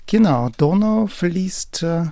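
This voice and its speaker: Man, radio guest; warm-hearted, broken phrasing, fairly high, mellow tone. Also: expressive, slow, gravelly, no room sound, studio quality. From southern Ukraine. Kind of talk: radio interview.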